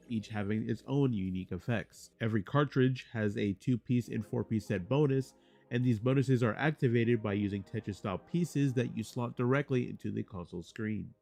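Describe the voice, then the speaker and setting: Low-voiced man narrating a game review. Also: gravelly, darkish tone. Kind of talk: scripted explanatory narration.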